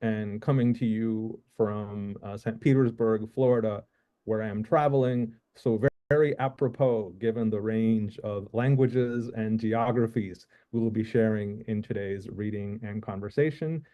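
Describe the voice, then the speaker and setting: A man from Puerto Rico introducing himself and guests at an online literary reading, in a friendly, relaxed way. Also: lowish voice, normal speed, lo-fi sound. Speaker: host. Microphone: video call.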